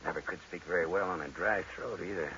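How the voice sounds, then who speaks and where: An actor playing a deadpan, wisecracking private detective who narrates his case in old-time radio noir style. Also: low-pitched, quick pace, very noisy. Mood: flippant. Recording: dull vintage radio recording with music.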